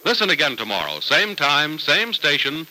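A man's voice: fairly high pitch, sing-song, moderate pace, sharp tone, rough recording. A man working as a 1940s radio announcer, reading scripted copy with emphatic, polished salesmanship.

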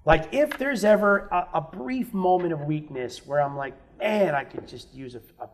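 A man's voice: on the high side, lively intonation, normal speed, slight background noise. A man on a podcast telling candid stories about his past, swearing freely and acting out other people's lines. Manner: frank, swears freely, acts out quoted voices. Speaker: guest musician. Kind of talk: candid storytelling in casual chat.

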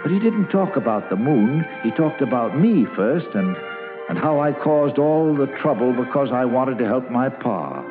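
A man's voice: medium pitch, sing-song, normal speed, natural voice. An actor playing a plain-spoken American man who fondly and wistfully narrates his boyhood in an old-time radio drama. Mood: admiring. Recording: muffled old radio recording with music.

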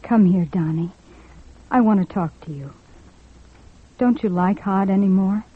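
A woman playing a gentle, caring, tactful young woman in a 1950s radio drama. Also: mid-range voice, unhurried, breathy edge. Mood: coaxing, gentle. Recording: thin, muffled old radio recording.

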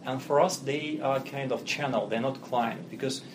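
A man's voice: mid-range voice, moderate pace. Russian-accented businessman, noisy line. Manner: fluent but non-native phrasing, businesslike, frequent fillers. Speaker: company CEO guest. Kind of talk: podcast interview.

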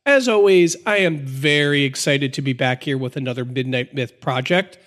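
An American man co-hosting a podcast in casual chat, sounding congested, a little nasal and gravelly from a sinus infection, with a cheeky, upbeat way of talking. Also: medium pitch, moderate pace, fairly bright tone. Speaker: podcast co-host.

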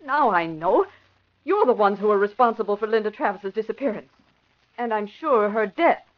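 Woman playing defiant young captive lady, very lively intonation, muffled old radio serial. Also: mid-range voice, moderate pace, natural voice. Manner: indignant, emphatic. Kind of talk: old-time radio drama acting. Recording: muffled vintage radio recording.

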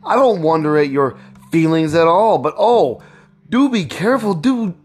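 A man reading a storybook, voicing a gentle, anxious young wife with a pleading, mournful manner. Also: mid-range voice, steady tone, normal speed, faint music behind, natural voice. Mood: frightened, pleading. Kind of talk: storybook read-aloud.

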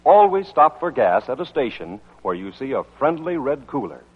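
Male radio announcer, old-time scripted delivery, animated intonation, slightly husky, on a thin phone-like recording. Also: mid-range voice, normal speed. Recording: tinny band-limited old radio, faint music.

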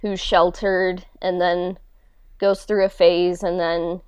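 A woman talking casually and haltingly in a podcast interview, as the show's creator answering questions. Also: fairly low pitch, flat delivery, smooth, no room sound. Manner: halting, conversational, frequent "like".

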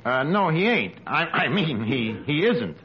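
Brash wisecracking old-radio tavern manager. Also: male voice, fairly high pitch, big pitch swings, a little slow. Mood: flustered. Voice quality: streetwise, slangy delivery. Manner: mock-grand, wisecracking. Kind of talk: 1940s radio comedy acting. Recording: muffled old radio, noisy, loud studio audience.

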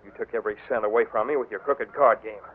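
A jumpy former bank robber, played by a man, talking fast and on edge. He comes through a thin, tinny old radio broadcast. He sounds cornered and defensive. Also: fairly low pitch, fairly even intonation, a little hiss or hum, lightly acted. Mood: nervous. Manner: tense and defensive. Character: nervous male clerk, former bank robber. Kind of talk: 1940s radio acting.

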